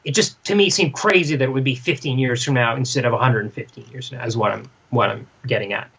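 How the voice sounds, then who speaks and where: This is a podcast co-host with a mid-pitched voice in a casual discussion. The delivery is animated and conversational, thinking aloud while reviewing a comic. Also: fast, vocal fry, husky, dull audio.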